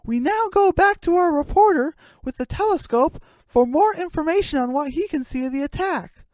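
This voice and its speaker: A woman's voice: high voice, animated, normal speed, a little mellow, dry recording, voice pushed up. A woman voicing a female chicken radio news anchor with a grave, theatrical delivery, in a mock broadcast. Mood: announcing.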